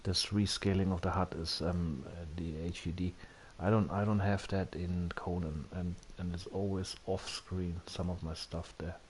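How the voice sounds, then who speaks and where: A low-voiced man streams a video game, talking casually to viewers. He thinks aloud, hesitating as he goes. A faint hiss sits behind his voice. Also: darkish tone. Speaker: live streamer hosting a video game broadcast. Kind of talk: casual gaming livestream chat.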